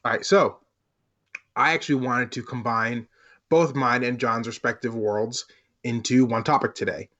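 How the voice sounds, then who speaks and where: A man co-hosting a casual discussion podcast, setting up topics in a measured, deliberate way with some casual slang. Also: medium pitch, steady tone, fairly bright tone. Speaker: podcast host.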